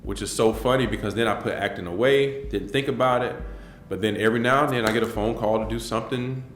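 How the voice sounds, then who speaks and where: Low-voiced man in his forties, echoey room. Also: fast talker, slight background noise. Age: 40s. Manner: earnest and rambling, many restarts and fillers. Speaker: interviewee. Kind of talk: filmed personal interview, reflective storytelling.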